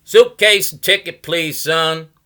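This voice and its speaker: A man voicing a brusque male bus driver who gives clipped orders in a loud voice. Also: fairly high pitch, slow, smooth, pitched above the performer's own voice.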